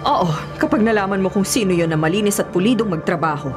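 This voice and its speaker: A woman's voice: mid-range voice, animated, fast, natural voice. An actress playing melodramatic radio drama women, an anxious wife and a ruthless businesswoman. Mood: cold, scheming. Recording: music and crowd noise behind.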